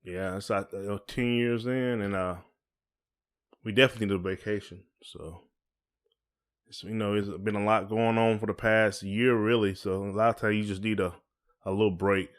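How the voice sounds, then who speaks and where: A man's voice: lowish voice, fairly even intonation, normal speed. A man co-hosting a podcast in casual chat, asking questions in informal, hesitant phrasing. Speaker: podcast co-host.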